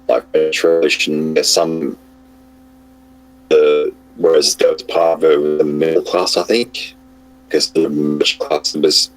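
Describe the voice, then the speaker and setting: A man with a cold and a hoarse voice, chatting casually as a guest on an online call, hesitant with many fillers and restarts. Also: very deep voice, a bit bright, low-quality recording.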